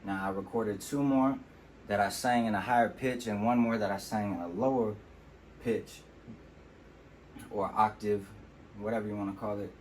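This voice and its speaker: A man with a deep voice, casually walking through how he mixes his own vocals in a relaxed, explanatory way. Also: moderate pace, long pauses, a little hiss or hum. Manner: relaxed, explanatory, with fillers like "you know". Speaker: music producer demonstrating vocal mixing. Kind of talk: casual tutorial walkthrough. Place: temporary home studio.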